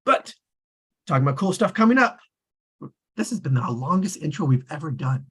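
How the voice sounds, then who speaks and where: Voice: medium pitch, very animated, brisk, fairly bright tone, slightly rough. A host talking casually while running an online table read.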